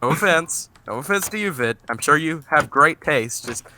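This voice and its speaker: A man's voice: fairly high pitch, lively intonation, normal speed, sharp tone, slight background noise. A man with a Southern US accent chatting in a casual, joking, mock-stern way over a game session, with frequent stumbling restarts. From American South. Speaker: gamer on a multiplayer server.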